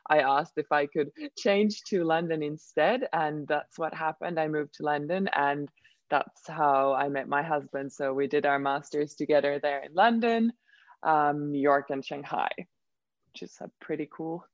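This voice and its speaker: A woman's voice: deep voice, measured pace, dry recording, dull audio. A young woman from Finland whose first language is Swedish, a model chatting as an interview guest and telling stories with lots of "like" and "um". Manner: chatty, storytelling, frequent "like" and "um". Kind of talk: casual interview chat.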